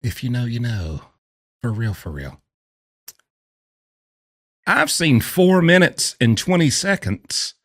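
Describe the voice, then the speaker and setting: A man's voice: sits low, no room sound, studio quality. A man giving casual, sarcastic reaction commentary as a host, mocking and theatrical in his emphasis. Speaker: host commenting on prison phone calls.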